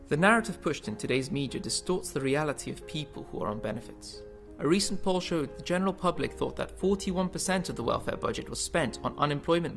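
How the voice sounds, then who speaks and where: A man giving scripted documentary narration in a measured, informative way. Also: fairly high pitch. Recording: voice-over with guitar music behind.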